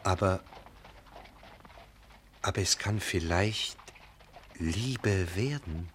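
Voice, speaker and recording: Radio drama: patient, self-deprecating husband, devoted; very slow delivery, fairly low pitch. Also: male voice, a bit bright, a little hiss or hum, heavily acted. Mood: tender, hopeful. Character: Henrik, patient, humble, lovestruck husband. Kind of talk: German radio play acting.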